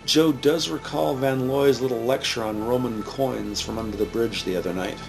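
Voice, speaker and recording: Gravelly male crime drama narrator. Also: mid-range voice, moderate pace, over music, rough recording. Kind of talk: scripted present-tense narration of a crime audio drama.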